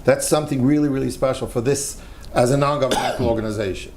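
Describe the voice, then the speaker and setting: An older man with an American accent talks on a panel discussion, earnest and emphatic, often repeating a phrase to drive it home. His voice sits at an ordinary middle pitch. A faint hiss runs under the recording. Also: quick pace. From United States.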